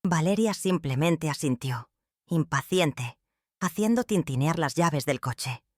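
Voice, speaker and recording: A woman's voice: deep voice, lively intonation, no echo, studio quality. Woman with a somber storytelling voice. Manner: measured, somber storytelling delivery. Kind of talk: scripted first-person dramatic narration.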